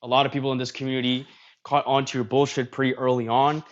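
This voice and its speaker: A man's voice: mid-range voice, little pitch movement, quick pace, dull audio. Man reading posts on a livestream. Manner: reads posts aloud and comments on them. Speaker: streamer host. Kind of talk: casual livestream commentary.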